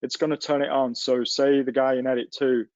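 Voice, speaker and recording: A man's voice: medium pitch, flat delivery, fairly quick, darkish tone, no room sound, muffled recording. A man teaching a live technical webinar, explaining things informally and step by step with hands-on examples. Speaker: instructor in a technical software tutorial. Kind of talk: casual live webinar walkthrough. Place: livestreamed online session.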